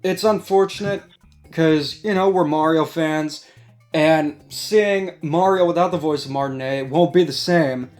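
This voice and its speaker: A man's voice: fairly high pitch, unhurried, darkish tone. A man hosting a casual discussion, giving commentary over background music. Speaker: host. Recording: music playing behind the voice.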